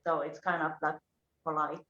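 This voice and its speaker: A woman's voice: low-pitched, little pitch movement, moderate pace, bright, forceful tone. A woman guest whose first language is Finnish, speaking hesitantly and searching for words. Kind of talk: casual panel chat. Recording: echoey room, dull lo-fi audio.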